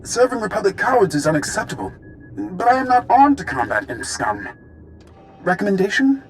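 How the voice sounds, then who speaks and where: A man's voice: high voice, wildly swooping pitch, normal speed, slight background noise. A man voicing a formal, disdainful military droid in a video game, with stilted robotic phrasing. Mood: uncertain. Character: disdainful, literal-minded military droid, loyal to its maker.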